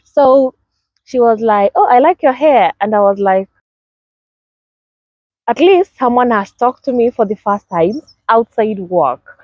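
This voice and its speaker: A young woman with a Kenyan accent talks straight to the camera, sharing her own experiences in a casual, lively way and playing out the greetings with sing-song bounce. The recording is dry, with a slightly dull, muffled top end. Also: on the high side, a little slow, long pauses, no room sound. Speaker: vlogger. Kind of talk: casual personal storytelling to camera.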